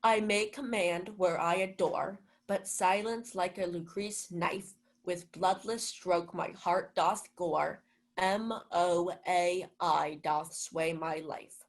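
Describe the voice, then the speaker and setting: Self-deluded, lovestruck steward played by a young woman, slightly low for a female voice, theatrical and pompous, Shakespearean radio comedy. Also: fairly even intonation, takes it slowly. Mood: puzzled, eager. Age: young adult. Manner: theatrical, self-important, musing aloud. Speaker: student actor. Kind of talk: Shakespeare comedy as 1940s-style radio play.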